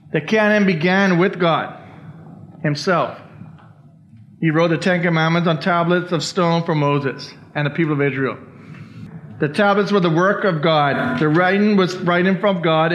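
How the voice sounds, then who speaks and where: A man's voice: sits high, a bit bright. A man from the Bahamas, teaching a church Bible study and often checking in with his listeners. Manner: keeps checking in with listeners, "all right?". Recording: dull lo-fi recording.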